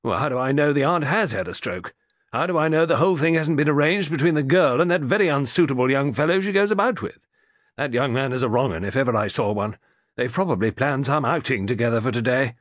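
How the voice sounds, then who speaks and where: A man's voice: medium pitch, expressive, fast, no room sound, clean studio sound, pitched above the performer's own voice, close to an everyday voice. A man voicing a small, pugnacious, bad-tempered middle-aged dentist who grumbles and complains. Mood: irritable. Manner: peevish, complaining.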